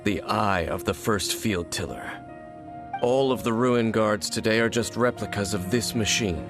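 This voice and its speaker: An actor voicing a composed, secretive scholar who explains things in a measured way, in a video game cutscene. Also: male voice, fairly low pitch. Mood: grave. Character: calm, secretive man, a learned scholar. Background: game music.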